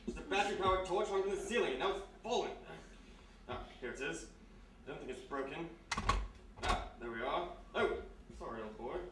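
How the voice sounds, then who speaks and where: A man with a British accent playing a dry, genteel gentleman in a radio mystery. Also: fairly high pitch, animated, fairly quick, natural voice. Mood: brisk, wry. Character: dry, well-bred British male gentleman companion. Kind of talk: live 1940s-style radio mystery acting. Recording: noisy echoey hall, distant stage mic.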